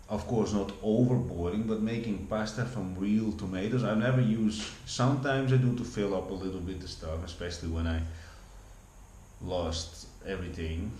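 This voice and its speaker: A man's voice: on the low side, darkish tone. Rambling Dutch man in an echoey room. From Netherlands. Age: 40s. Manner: rambling, many restarts and repeated words. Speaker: vlogger. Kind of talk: casual rambling monologue. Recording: echoey room with faint background hum.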